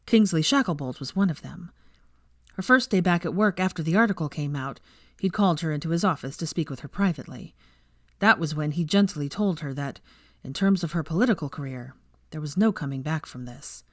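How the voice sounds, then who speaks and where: A woman's voice: low-pitched, animated, brisk, no echo, studio quality. A woman reading fiction aloud as a scripted story narrator.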